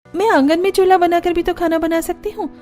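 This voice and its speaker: Lady doing young put-upon bahu in a cartoon, really high voice, polite n plaintive, eager to please. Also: steady tone, brisk, a little mellow, soft background music. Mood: bright, eager. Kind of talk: Hindi cartoon family drama acting.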